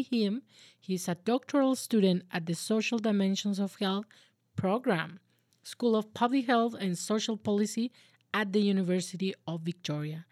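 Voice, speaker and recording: A woman's voice: medium pitch, warm tone, no echo, clean studio sound. A young adult woman hosting and producing a podcast, reading a careful introduction and then friendly questions to her guest.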